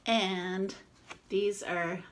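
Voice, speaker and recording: A woman reading a picture book aloud to children, expressive, with casual chatty asides to explain it. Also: mid-range voice, very slow, background noise. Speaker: children's read-aloud presenter.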